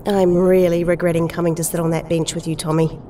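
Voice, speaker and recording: A woman's voice: lowish voice, flat delivery, a little fast, dark tone, far from the mic, slight background noise, natural voice. A woman playing an anxious, plain-spoken young heroine in an audio drama. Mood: worried.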